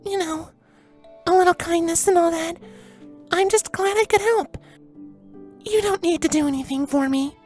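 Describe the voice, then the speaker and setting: Guy doing a timid girl pegasus, super high, gentle and hesitant, storybook pony. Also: normal speed, pauses often, faint music behind. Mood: shy, modest. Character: shy, gentle, modest female winged pony.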